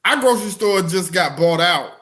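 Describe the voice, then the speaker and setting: A man's voice: high-pitched, expressive, fairly quick, pushed and bright, faint music behind. A man co-hosting a comedy podcast, joking around in casual, slangy banter.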